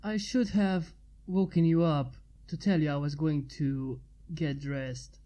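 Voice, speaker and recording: A woman's voice: sits low, steady tone, slow, dark tone, voice pushed down. A woman voicing an awkward, polite young man in a storybook reading, mumbling and hesitant. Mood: sheepish.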